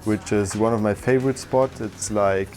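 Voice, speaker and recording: 20s dude, lowish voice, says like a lot, music behind. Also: male voice, normal speed. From Germany. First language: German. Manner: relaxed, uses "like" a lot.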